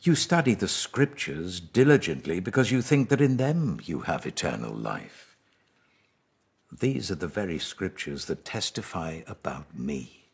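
Guy doing a solemn british holy teacher from the bible, really low husky voice, grave and commanding, big swells and drops. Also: exaggerated voice.

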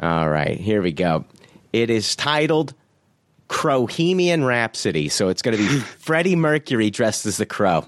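A fairly low-pitched man hosts a podcast in an easygoing, chatty way. He reads listener reviews aloud, laughs often and drops the odd swear word. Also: big pitch swings, a little slow, no room sound. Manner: laid-back, laughs often, swears casually. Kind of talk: casual podcast chat.

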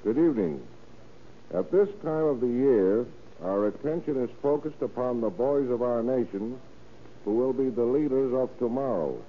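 A man's voice: mid-range voice, moderate pace, a little hiss or hum. A man giving a solemn, moralizing scripted address as a guest sheriff on old radio, with formal oratory. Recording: thin, phone-like 1930s radio transmission.

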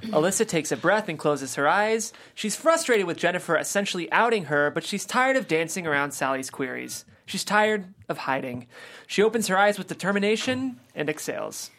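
Low calm woman reading stage directions. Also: moderate pace.

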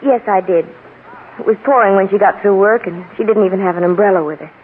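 An actress playing a cool, frank, embittered wife with a measured delivery in an old-time radio crime drama. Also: female voice, mid-range voice, lightly acted. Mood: frank, bitter. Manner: measured, blunt. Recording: noisy, narrow-band old radio recording.

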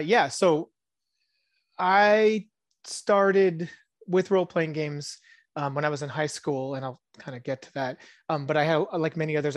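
A man in his thirties, born in Israel and a native Hebrew speaker, talking as a podcast guest in a musing, soft-spoken way that often trails off into quiet asides. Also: fairly high pitch, no room sound, clean studio sound. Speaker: podcast guest, tabletop game designer. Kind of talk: casual interview chat.

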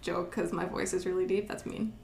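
A woman's voice: lowish voice, level tone, brisk, vocal fry, a little mellow. Teasing eighteen-year-old woman, roomy sound. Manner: teasing, laughs often. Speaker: podcast co-host. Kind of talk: casual sibling chat.

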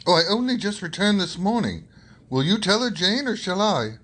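An eager, amiable young gentleman in a radio drama, acted by a man. He has a high voice for a man and speaks with open, lovestruck earnestness. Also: sing-song, normal speed, breathy edge, exaggerated voice. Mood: happy, earnest. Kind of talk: radio theater acting.

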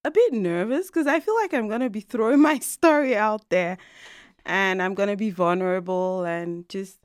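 Excitable Nigerian woman, dry close sound. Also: medium pitch, moderate pace, clean tone, dry recording. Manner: animated, laughs often, nervous and excited. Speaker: podcast co-host and guest. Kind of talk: casual conversational interview.

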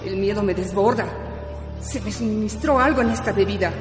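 An actress playing a drugged, anxious noble Inca princess in a radio drama. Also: female voice, mid-range voice, fairly quick, reverberant, long reverb tail, over music, lightly acted. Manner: anxious inner monologue.

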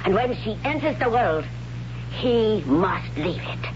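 An imperious priestess laying down holy law in old radio drama style. Her delivery is solemn and declamatory, on a muffled recording with clear hum and noise. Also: mid-range voice, animated, takes it slowly. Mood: solemn, commanding. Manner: solemn, commanding, formal, declamatory.

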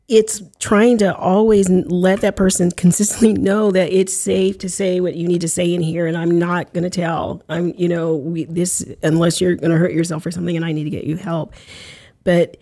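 A woman hosting a casual interview, her voice set somewhat low with a mellow, relaxed tone. She talks in loose, reflective sentences that double back and restart as she thinks aloud. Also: moderate pace. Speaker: podcast host.

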